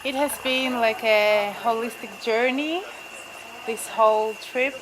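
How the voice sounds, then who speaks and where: A woman from Greece reflects on her course at an unhurried pace. Her voice sits a little high. Outdoor noise runs clearly underneath. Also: steady tone. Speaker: student. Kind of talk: interview to camera.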